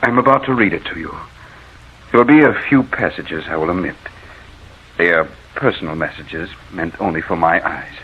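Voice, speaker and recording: A man acting a solemn, courteous doctor in a 1940s radio play. The voice is low and formal, each phrase deliberate. The recording is muffled, with faint hiss. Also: lightly acted. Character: grave, courteous doctor with a missing wife.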